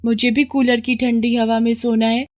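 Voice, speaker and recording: Woman voicing a high-pitched, pleading little girl. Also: level tone, normal speed, darkish tone, no room sound, muffled recording, close to an everyday voice. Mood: pleading, insistent. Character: pleading, insistent six-year-old girl, poor labourer's daughter. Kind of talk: animated moral story voice acting.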